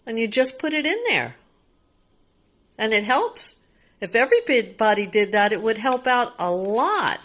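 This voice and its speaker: A woman reading a picture book aloud to young children like a teacher, explaining simply with repeated words and little questions. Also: fairly high pitch. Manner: simple child-directed talk with repetition and questions. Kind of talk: read-aloud storytime with simple asides to children.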